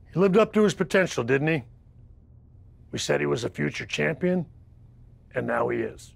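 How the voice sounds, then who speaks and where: A man's voice: fairly high pitch, vocal fry, dry recording. A man acting as a candid, proud wrestling manager giving a documentary-style interview in a video game.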